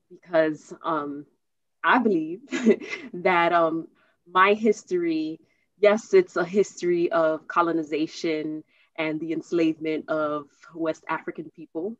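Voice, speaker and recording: An American woman hosting a casual interview, speaking haltingly, searching for words and repeating herself. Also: low voice, steady tone, dry recording, lo-fi sound. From United States. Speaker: host interviewing a guest.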